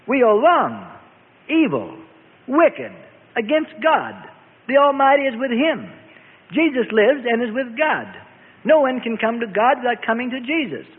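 A man voicing furious religious judges in a dramatized scripture story, shouting with frenzied, fanatical outrage. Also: very high-pitched, wildly swooping pitch, normal speed, few pauses, voice pushed up, heavily acted. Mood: outraged, defiant. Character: raging male religious judges screaming for blood. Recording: tinny radio sound, long reverb.

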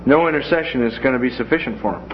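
A man's voice: medium pitch, rapid-fire. A man teaching a Bible class in a classroom in a folksy way, with joking asides. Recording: dull lo-fi classroom recording with faint noise.

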